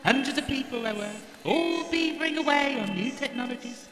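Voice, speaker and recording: Fussy old service robot, very high bright voice, motherly, genteel, comic audio drama, echoey hall. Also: lively intonation, fairly quick, over music. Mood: nostalgic.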